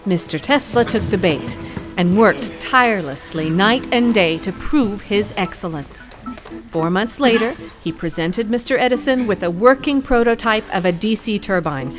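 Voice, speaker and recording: A woman acts out an assertive female attorney in a courtroom radio play. Her mid-pitched voice is formal and argumentative, built on rhetorical turns. Music plays behind her. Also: expressive, normal speed, close to an everyday voice. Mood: wry, narrating. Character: assertive woman lawyer arguing before a court, respectful but combative. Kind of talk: courtroom audio drama acting.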